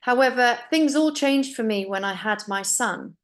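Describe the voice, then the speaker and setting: Middle-aged woman, kinda high voice, earnest upbeat sales talk, speaks right to you. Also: normal speed. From Finland. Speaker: business opportunity presenter. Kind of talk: persuasive sales presentation.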